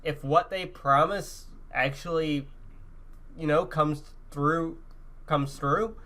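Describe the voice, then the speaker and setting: Man, slightly high pitch, casual gaming podcast co-host, conversational. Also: slow, pushed and bright. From Canada. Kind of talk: casual gaming news chat.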